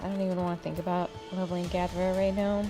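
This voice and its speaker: A woman's voice: lowish voice, flat delivery, fast, mellow, faint music behind. A woman chatting casually and bluntly, with some swearing, while playing an online game. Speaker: gaming video host.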